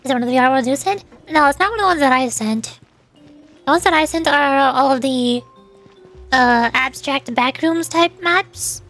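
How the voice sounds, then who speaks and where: A woman's voice: high voice, moderate pace, a bit bright. A woman streamer giving casual, chatty live commentary on a game in a playful way. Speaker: VTuber streamer. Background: faint music.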